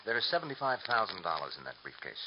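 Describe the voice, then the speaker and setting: An actor playing a calm, confident male defense attorney in an old radio drama, giving crisp instructions. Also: lowish voice, racing. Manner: crisp, commanding, gives precise instructions. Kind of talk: 1940s radio acting. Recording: dull old radio recording with loud hiss.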